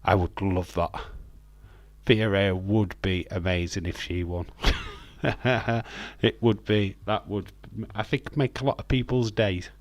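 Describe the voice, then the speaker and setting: A man with a slightly croaky voice hosting a live stream, chatting in a rambling, friendly way with lots of hesitant fillers. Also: on the low side, fairly even intonation, a little slow, no echo. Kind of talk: casual live watch-along chat, reading viewer comments.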